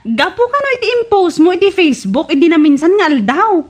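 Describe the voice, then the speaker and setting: A woman's voice: very high-pitched, sing-song, a little fast. A woman playing a nosy, teasing friend who gossips and exclaims in an Ilocano comedy radio drama, with an Ilocano accent.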